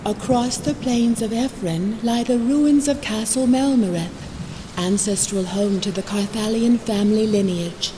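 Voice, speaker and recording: A woman playing a solemn, mystical narrator in a fantasy video game, telling of the realm in a grand, measured storyteller delivery. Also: a little high, fairly even intonation, mellow, slight background noise, close to an everyday voice, rough recording. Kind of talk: dramatic scripted fantasy narration.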